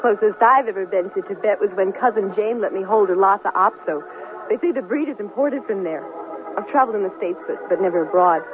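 A woman's voice: mid-range voice, fast talker, background noise, close to an everyday voice. An actress playing a sweet, shy, artless young woman from a small Midwestern town in a 1970s radio drama. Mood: bashful, impressed. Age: young adult. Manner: artless, eager, giggly, chatty. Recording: tinny vintage radio broadcast, music.

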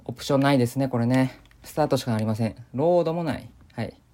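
A man rattles through an improvised game playthrough at a very quick pace. His voice is soft-edged and mid-pitched, with a deadpan way of remarking on what he sees. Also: slight background noise. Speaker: gamer doing commentary. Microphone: voice memo recording.

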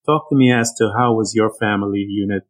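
A man's voice: on the low side, fairly quick. A man interviewing a guest, laid-back, with frequent fillers. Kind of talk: casual interview.

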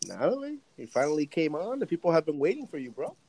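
A man hosting a casual podcast. He rambles with many fillers, then trades loud jokes and drops Spanish into his talk. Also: fairly high pitch, sing-song, quick pace, slight background noise. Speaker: podcast host.

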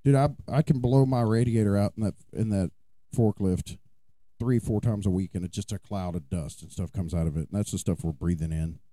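A man co-hosting a casual podcast, slightly hoarse as his voice recovers, telling stories and cracking puns. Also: fairly low pitch, a little fast, dark tone, no room sound. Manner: jokey storytelling, dad-joke puns. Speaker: podcast co-host. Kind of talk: casual chat.

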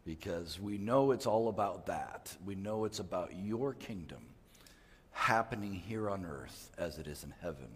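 A man's voice: sits low, lively intonation, a little slow, breathy edge. An older man who grew up in West Africa, speaking as a missionary in an earnest, emphatic preaching manner with frequent restarts. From West Africa (Mali). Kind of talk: prayer then church missionary talk. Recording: long hall reverb.

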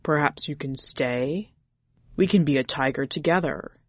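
A woman voicing a grave, gruff but tender male tiger who speaks slowly and formally in a storybook reading. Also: low-pitched, no echo, pitched below the performer's own voice. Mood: wistful. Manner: slow, formal, solemn speech.

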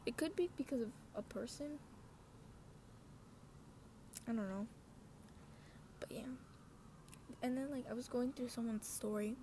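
High-voiced young woman rambling over outdoor noise. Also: mellow. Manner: scattered, slangy, self-interrupting. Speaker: podcast host. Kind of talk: casual rambling personal podcast chat. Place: outdoors with wind and traffic. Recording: windy outdoor noise, passing cars. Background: wind, cars, ambient noise.

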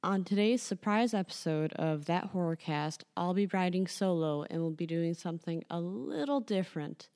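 A woman hosts a podcast in a presenter's style. Her voice is on the low side for a woman, with a dark, soft-edged tone. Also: expressive, moderate pace, few pauses. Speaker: podcast host.

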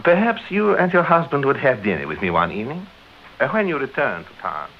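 Courteous, probing gentleman, animated intonation. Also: medium pitch, fairly quick. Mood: politely probing. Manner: hesitant, formally polite. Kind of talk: vintage BBC radio drama acting. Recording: dull vintage radio recording, faint hiss.

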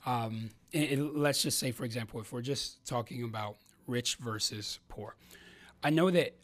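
A man co-hosting a podcast, talking in an earnest, reflective way with frequent pauses and restarts. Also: mid-range voice. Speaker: podcast co-host. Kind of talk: casual podcast conversation.

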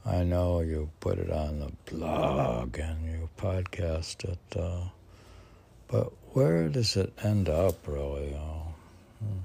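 Gravelly, deep-voiced man, slow and musing. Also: animated, dark tone. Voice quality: gravelly, husky. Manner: halting, musing, frequent throat-clearing. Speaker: poet reading own work on a podcast. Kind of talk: informal poetry reading with rambling self-dialogue.

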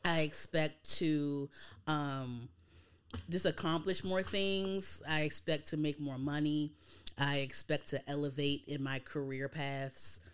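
A thirty-year-old American woman hosts a casual podcast in a smooth, clear voice that sits a little low. She talks informally, full of slang, and swears freely. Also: steady tone, slow pace, fairly bright tone. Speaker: podcast host.

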